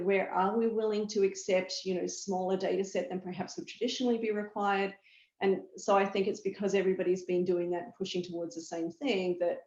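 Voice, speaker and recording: A woman with an Australian accent explains things as an expert guest in a discussion, in a measured, conversational way with rhetorical questions. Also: medium pitch, darkish tone. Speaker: pharmaceutical chief medical officer, expert guest. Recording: echoey room, muffled audio.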